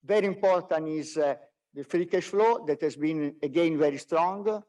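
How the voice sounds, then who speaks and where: A non-native English-speaking man with a slightly high voice presents business results as an executive. He speaks haltingly, often repeating words, and is picked up from a little distance on a conference call. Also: dark tone. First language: not English.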